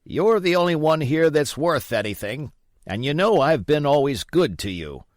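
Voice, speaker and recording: A man voicing a sick, desperate old pirate in a storybook, wheedling and blustering in rough sailor's dialect. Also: fairly high pitch, very animated, normal speed, dry recording, clean studio sound, pitched above the performer's own voice. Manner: rough nautical dialect, pleading and cursing.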